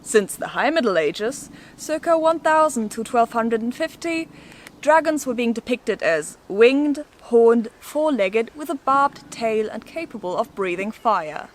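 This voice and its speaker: A woman with a fairly high voice presents a scripted explainer. Her delivery is playful and a little theatrical, with casual asides to the viewer. There is noticeable noise in the background of the recording. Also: expressive, a little slow. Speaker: video host presenting an educational explainer.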